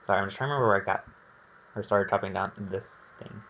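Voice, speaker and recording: A man's voice: sits low, fast, a bit bright, highs cut off, slight background noise. A man giving casual, rambling gameplay commentary, thinking aloud in a candid and hesitant way. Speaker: gaming video creator.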